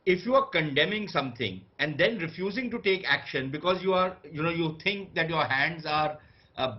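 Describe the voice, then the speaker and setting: A man with an Indian accent giving opinionated debate commentary as a panelist, blunt, scornful and emphatic. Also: fairly high pitch. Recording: dull lo-fi broadcast audio.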